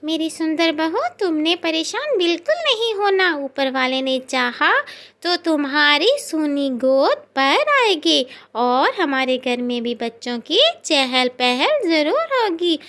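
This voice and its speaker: A woman's voice: high voice, big pitch swings, takes it slowly, fairly bright tone, exaggerated voice. A woman voicing a caring, protective older mother-in-law bird in a cartoon, coaxing in a motherly way. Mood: tender, reassuring.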